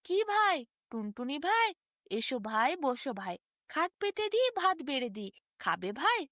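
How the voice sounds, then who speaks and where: Female narrator doing timid mouse, friendly, fretful, bright, high, storybook voice. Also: sing-song, measured pace, no room sound, clean studio sound, exaggerated voice. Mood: welcoming. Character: hospitable but timid, cautious mouse.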